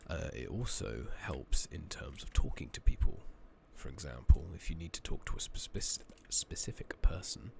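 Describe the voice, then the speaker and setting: A man doing soft-spoken, partly whispered ASMR game commentary, rambling along in a relaxed way as he thinks aloud. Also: a bass voice, moderate pace, creaky, few pauses, background noise. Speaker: game streamer, ASMR host. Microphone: makeshift new close microphone.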